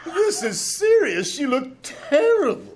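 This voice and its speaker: A man's voice: very high voice, huge pitch swings, unhurried, full character voice. A man playing an anxious, melodramatic husband in an old-time radio comedy, theatrical and exclamatory. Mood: frantic. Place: small-town theater stage, live performance. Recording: live stage recording with noise.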